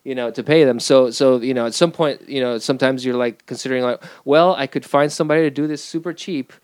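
A middle-aged man from Peru speaks in a smooth, resonant voice. He talks casually and haltingly in an interview, full of restarts and fillers, and the dry sound carries almost no room. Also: medium pitch, no room sound. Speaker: film director guest.

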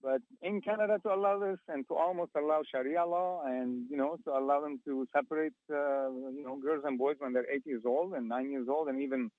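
A man's voice: a little high, fairly even intonation, a little fast, hardly any pauses, dry recording. A man from Lebanon with a Lebanese accent, calling in to a talk radio show and searching for his words. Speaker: caller. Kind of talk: phone-in call. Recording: phone line.

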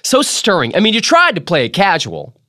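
A man reading a memoir aloud as a podcast host, in a theatrical, emphatic style with dramatic pauses. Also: high voice, huge pitch swings, pushed and bright, dry recording, studio quality. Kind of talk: expressive dramatic literary reading.

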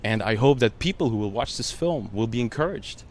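A man's voice: medium pitch, animated, a little fast, slight background noise. A man, an actor whose first language is Russian, answering a panel interviewer in an earnest, emotional way, full of "you know" fillers.

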